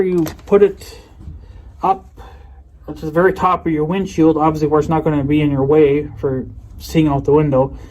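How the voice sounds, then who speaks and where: Higher-pitched man rambling casually over background noise. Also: darkish tone. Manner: rambling, folksy, colloquial. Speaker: hobbyist video creator presenting a product unboxing. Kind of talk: casual unscripted show-and-tell. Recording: noticeable background noise with clicks.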